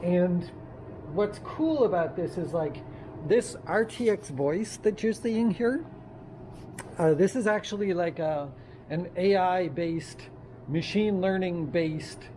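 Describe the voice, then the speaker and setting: A man giving an informal, halting walk-around tech demonstration, thinking aloud as he goes. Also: sits high, warm tone. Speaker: presenter of a tech demo. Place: makerspace woodworking and tool shop. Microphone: wireless lavalier, sometimes built-in computer mic. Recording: wireless lav in noisy workshop.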